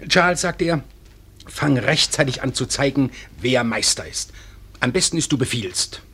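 An actor playing a genial, bumbling middle-aged father in a radio play, hesitant and halting, with wry humour. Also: mid-range voice, animated, pushed and bright, husky, full character voice. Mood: halting, confiding. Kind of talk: German radio play acting.

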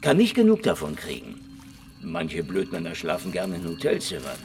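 A hard-boiled private eye for a radio drama. His low voice lurches widely from dry muttering to flippant wisecracks. He is slangy, sardonic and defiant, with music running behind him. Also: wildly swooping pitch, normal speed, vocal fry, darkish tone, exaggerated voice. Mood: sarcastic. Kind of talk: German noir radio drama acting.

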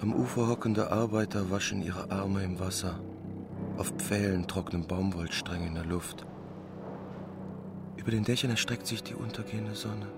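A man's voice: sits low, fairly even intonation, normal speed, creaky, noisy background. An actor playing a dreamy, easily overwhelmed young male medical student in a radio play. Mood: wistful.

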